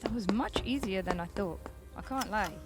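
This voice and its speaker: An actress playing a brisk, impatient, self-assured woman leading a space heist in a sci-fi audio comedy, dry and bossy. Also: mid-range voice, over music, close to an everyday voice. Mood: brisk, focused.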